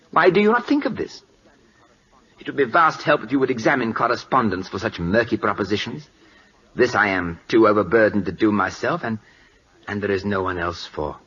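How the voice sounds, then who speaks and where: A man's voice: fairly low pitch, fairly bright tone. Pompous Siamese king, swooping voice. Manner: halting, pompous, broken English. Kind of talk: old-time radio drama acting. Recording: muffled vintage radio broadcast.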